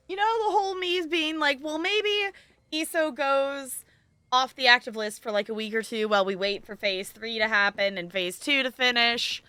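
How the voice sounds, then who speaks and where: A woman's voice: high voice, bright, forceful tone, smooth, no room sound. A woman chatting casually as she live streams a game, rambling in a playful, self-deprecating way. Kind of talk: casual gaming stream chat. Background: music.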